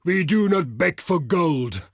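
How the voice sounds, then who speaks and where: A proud barbarian chieftain for a video game, pitched high for a male and slow in delivery. He declaims in blunt, archaic phrases with a warrior's hot temper. The sound is dry and close. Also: lively intonation, breathy edge, no room sound, heavily acted. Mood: grave, pleading. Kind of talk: theatrical video game character voice lines.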